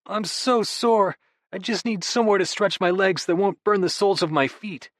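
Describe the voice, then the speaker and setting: Man doing a much higher, whiny dramatic young brother, theatrical complainer, audiobook. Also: moderate pace, a bit bright, dry recording, studio quality.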